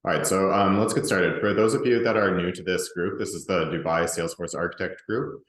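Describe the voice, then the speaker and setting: A man from Russia who grew up in the US, giving a recorded slide presentation alone, relaxed and conversational with frequent ums and restarts. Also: deep voice, brisk, roomy sound. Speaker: presenter and group organizer.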